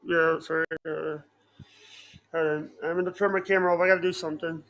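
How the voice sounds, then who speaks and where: A man's voice: fairly high pitch, flat delivery, fairly quick, fairly bright tone, poor audio. A man chatting casually as a podcast guest, hesitant and apologetic, often repeating his phrases. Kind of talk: casual chat.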